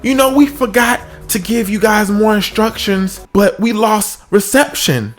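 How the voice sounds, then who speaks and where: A man's voice: high-pitched, animated, measured pace, faint music behind, voice pushed up. A man voicing a polite, grateful and insistent woman customer in a comic story. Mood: relieved. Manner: polite, pleading.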